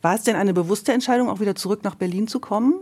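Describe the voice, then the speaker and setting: A woman's voice: mid-range voice, very fast, a little mellow, husky. Woman interviewer asking probing questions.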